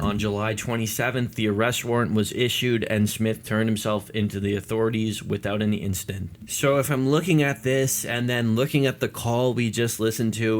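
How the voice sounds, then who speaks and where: A man with a fairly low voice presents news commentary as an online host. He lays out the details for his viewers in the manner of a video update. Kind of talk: news commentary to viewers, part read statement.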